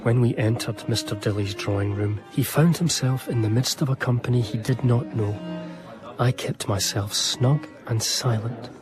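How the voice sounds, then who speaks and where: An actor playing an eager, ingratiating gentleman, courteous and wordy, in a period radio drama. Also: mid-range voice, normal speed, music in the background, lightly acted. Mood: pleased, narrating. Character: eager, ingratiating Scottish gentleman, self-satisfied narrator.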